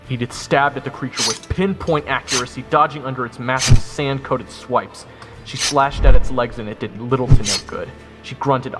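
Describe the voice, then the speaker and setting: A wry soldier, voiced by a man, narrates his fantasy adventure in the first person. His voice is mid-pitched and matter-of-fact, with clear music underneath. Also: lightly acted. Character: wry watchful soldier telling his story. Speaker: narrator of audio roleplay.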